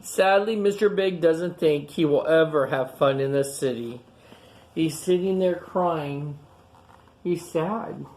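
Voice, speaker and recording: A woman doing a storytime for young children, reading and explaining in simple, repetitive words with gentle questions to her listeners. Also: sits low, takes it slowly. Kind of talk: picture-book read-aloud and simple chat to young children.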